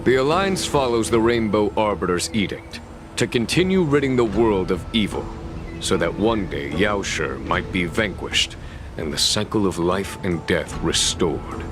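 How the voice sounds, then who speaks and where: A man's voice: lowish voice, animated, unhurried, music in the background. A man voicing a dutiful, resolute military general in a video game, speaking in formal, declarative phrases. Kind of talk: dramatic game dialogue.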